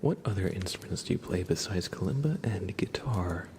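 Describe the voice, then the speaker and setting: A man with a congested, stuffy nose chats casually with viewers as an ASMR livestream host, hushed and often whispery. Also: low voice, expressive, normal speed, a little hiss or hum.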